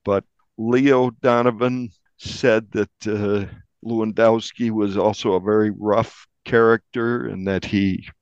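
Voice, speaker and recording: A man speaking as a journalist in an interview, giving a measured account of a case. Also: fairly low pitch, steady tone, clear, resonant tone, dry recording, highs cut off. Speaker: investigative journalist being interviewed.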